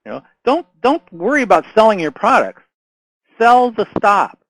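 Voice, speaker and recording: Hoarse man coaching on marketing. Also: sits high, very animated, moderate pace, fairly bright tone, no room sound, low-quality recording. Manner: conversational, many restarts and fillers, frequent "right?" and "okay?". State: hoarse, losing his voice. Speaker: marketing consultant. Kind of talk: casual instructional talk to camera.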